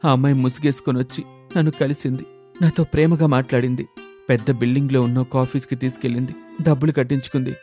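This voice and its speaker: A man's voice: medium pitch, little pitch movement, quick pace, warm tone, soft background music, lightly acted. A man playing a downcast, swindled young husband who confides in a plaintive, self-pitying way. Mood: dejected.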